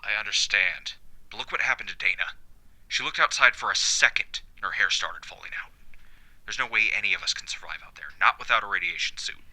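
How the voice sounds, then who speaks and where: A man playing a grim, stubborn fallout survivor, terse and hushed with intensity. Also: on the low side, brisk, poor audio. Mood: grim, firm. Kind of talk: audio drama acting.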